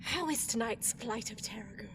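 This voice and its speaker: A woman's voice: a little high, normal speed, vocal fry, background noise. A woman playing a scheming, mocking villainous empress in an audio drama. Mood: taunting. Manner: taunting, sing-song, petulant. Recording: reverberant room, faint ambience.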